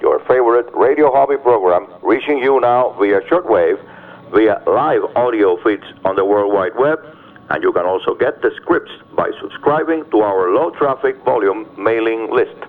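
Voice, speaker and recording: A man's voice: fairly low pitch, animated, normal speed. A man hosting a radio hobby program, greeting listeners with a scripted introduction. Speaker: radio hobby show host. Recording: tinny shortwave radio broadcast.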